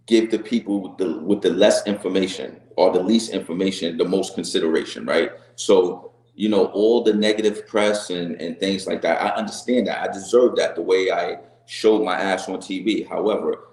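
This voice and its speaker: A middle-aged man with a deep voice, answering interview questions earnestly, often restarting and asking "right?". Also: steady tone, normal speed, few pauses, a little mellow, echoey room, low-quality recording. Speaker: reality show guest.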